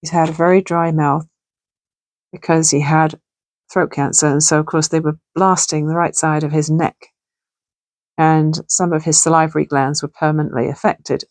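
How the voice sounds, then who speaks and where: A middle-aged woman with a gravelly, hoarse voice, chatting casually and thinking aloud as she makes something at home. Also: deep voice, little pitch movement, normal speed, a little mellow, dry recording. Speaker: crafting channel host. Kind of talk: casual crafting tutorial chat. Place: home sewing room.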